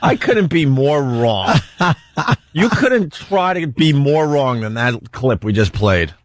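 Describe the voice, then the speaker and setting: A man's voice: mid-range voice, big pitch swings, takes it slowly, no echo. A man hosting a radio talk show, bantering casually about sports in an emphatic way.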